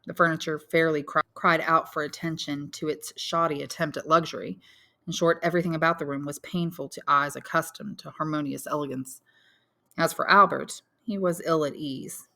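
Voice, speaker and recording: A woman's voice: sits low, bright, forceful tone. A woman reading a literary story aloud as scripted audiobook narration. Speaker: audiobook narrator, English teacher.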